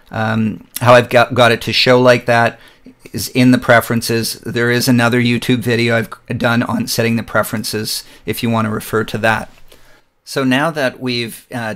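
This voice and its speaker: Male tutorial narrator, mid-range pitch, relaxed step-by-step delivery with fillers. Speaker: photography software instructor. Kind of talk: screen-recorded software tutorial.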